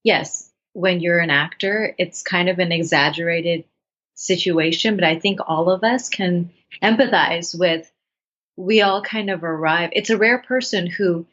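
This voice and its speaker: Earnest low-voiced woman in her thirties. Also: a bit bright. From Bangladesh. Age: 30s. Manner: thoughtful, earnest, with frequent restarts. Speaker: guest, writer and actress. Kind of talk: reflective interview talk. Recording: dull lo-fi sound.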